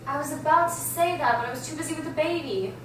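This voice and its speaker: A woman's voice: sits high, fast talker, close to an everyday voice. A woman reading the part of a snide, mocking sister at a script table read, in a noisy, echoey room. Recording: echoey room, distant mic, clear noise.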